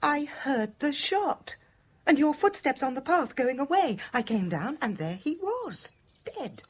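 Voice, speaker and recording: A woman's voice: sits high, sing-song, unhurried. A British woman playing a secretive, emotional wife of a murdered man in a radio drama. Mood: shaken.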